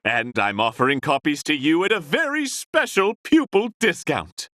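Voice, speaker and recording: An actor playing a theatrical swindler who tutors others in deception in a video game, florid, grandiose and ingratiating. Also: male voice, a little high, wildly swooping pitch, moderate pace, sharp tone, no echo, studio quality, full character voice. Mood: grandiose, sly. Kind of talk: scripted video game dialogue.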